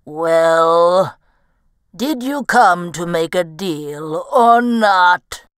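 A woman voicing an ancient, shrewd female woolly mammoth with a slow, drawn-out, imposing delivery in a storybook tale. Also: on the low side, lively intonation, smooth, dry recording, studio quality, pitched below the performer's own voice.

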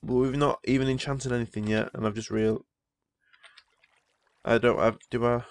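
A man's voice: mid-range voice, steady tone, normal speed. A man who is ill, rambling casually through gameplay commentary and easily impressed by what he sees. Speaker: Minecraft let's player.

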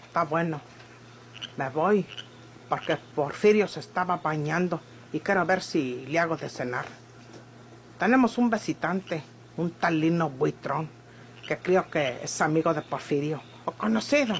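A woman's voice: deep voice, lively intonation, unhurried, slight background noise. An actress playing a feisty, blunt ranch woman with a rural Mexican accent and rustic speech, in an old radio drama. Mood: brisk, resolute. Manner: rustic, sharp-tongued speech. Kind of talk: Mexican golden-age radionovela acting.

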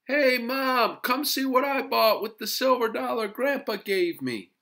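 Storybook schoolboy acted by an adult reader: eager, high-pitched, very lively swings. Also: a little slow. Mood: eager, proud. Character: excitable young schoolboy, eager, earnest, easily delighted.

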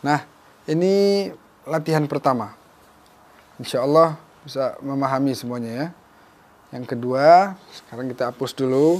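A young man with an Indonesian accent teaching a televised beginners' Arabic lesson, repeating phrases slowly and explaining each one. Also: medium pitch, darkish tone. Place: small dark studio with whiteboard.

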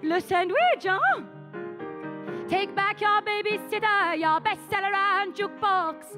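Woman putting on a snooty french cabaret diva, put-on french accent, super high voice, drawn-out and slow, live music behind. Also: expressive. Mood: mocking song. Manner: camp, mocking, flamboyant, sung with musical backing. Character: haughty French female chanteuse, theatrical and scornful. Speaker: cabaret performer. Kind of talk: comic cabaret song and patter.

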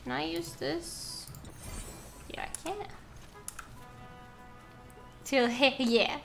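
A young Norwegian woman with a high, light voice, talking to her stream chat from a desk at home. She speaks English as a second language, chatty and quick to laugh, with faint music underneath. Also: very animated, gravelly, fairly bright tone. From Norway. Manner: chatty, laughs often, reads and answers chat. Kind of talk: casual gameplay chat with viewers.

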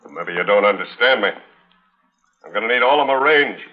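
A man's voice: on the low side, animated, brisk. An American man acting a menacing, mocking cattle rancher in a 1950s radio western drama. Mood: threatening. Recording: muffled, noisy old radio broadcast.

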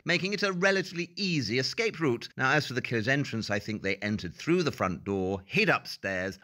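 Male actor as brilliant male detective, self-assured, didactic, bright forceful voice, very animated pitch, audio play. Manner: emphatic, precise, didactic. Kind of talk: audio drama acting.

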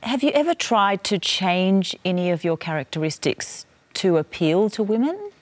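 A woman hosting a television discussion, asking calm, probing questions in a studio. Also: mid-range voice, normal speed, no room sound. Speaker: host. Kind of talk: television interview.

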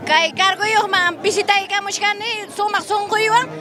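A woman's voice: very high voice, steady tone, measured pace, crowd noise. A middle-aged woman giving a short greeting into a handheld mic outdoors. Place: outdoors at a crowded festival table. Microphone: interviewer's handheld microphone. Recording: crowded outdoor event with music.

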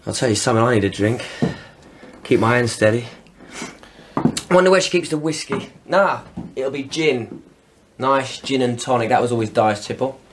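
An actor playing a taunting, cruel armed criminal in a radio crime drama, speaking slangy English. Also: male voice, medium pitch, moderate pace, pauses often, background noise, close to an everyday voice. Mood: mocking.

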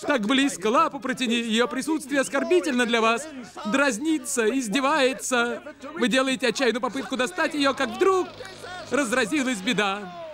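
A man's voice: very high voice, moderate pace. A man voicing a fussy, earnest cartoon bird presenter with a theatrical, indignant manner. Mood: dramatic narrating. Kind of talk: exaggerated children's cartoon dubbing.

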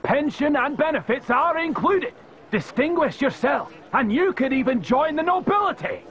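An actor playing a bombastic imperial army recruiter in a video game, declaiming theatrically like a town crier. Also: male voice, extremely high pitch, expressive, normal speed, fairly bright tone, lo-fi sound, full character voice. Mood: rousing, proud.

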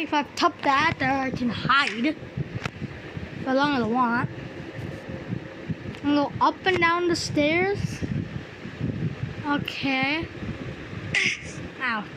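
High-pitched gamer with noisy background. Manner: reacts aloud, repeats words, exclaims. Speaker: gaming video host. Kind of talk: excitable horror game commentary. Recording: clear background noise.

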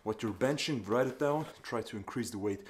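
A man's voice: medium pitch, fairly quick. A young man vlogging casually about his home gym, laid-back and slangy, swearing often. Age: young adult. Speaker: fitness vlogger. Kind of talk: casual vlog talk. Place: covered outdoor home gym at night. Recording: noisy camera audio.

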